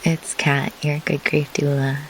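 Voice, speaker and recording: A woman leading a guided meditation in measured, deliberate phrases with pauses, as a host who works as a grief doula. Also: low voice, poor audio. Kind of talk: slow guided meditation instruction. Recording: clear pink-noise rain hiss behind. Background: pink noise like steady rain.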